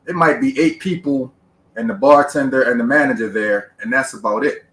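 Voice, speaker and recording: Podcast host dude, talks in an even middle register, keeps hammering the same advice, pretty emphatic. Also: male voice, medium pitch, brisk. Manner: emphatic, repetitive advice-giving. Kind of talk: conversational interview.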